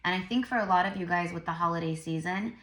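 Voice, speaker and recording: A woman with a lowish, bright voice gives a casual tarot reading. She sounds tired and a little crackly, rambling along as she addresses her viewers directly. The room adds a noticeable echo. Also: fast. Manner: informal, rambling, addressing viewers as "you guys". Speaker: psychic medium and tarot reader.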